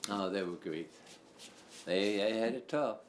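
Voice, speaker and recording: A man's voice: fairly low pitch, unhurried, slight background noise. A man in his seventies, answering interview questions with wry humor. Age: 70s.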